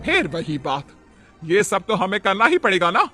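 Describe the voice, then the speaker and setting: A man's voice: high voice, sing-song, normal speed, sharp tone, soft background music, heavily acted. A man voicing a stern, self-important older working mother-in-law who scolds, in a cartoon moral story. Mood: indignant.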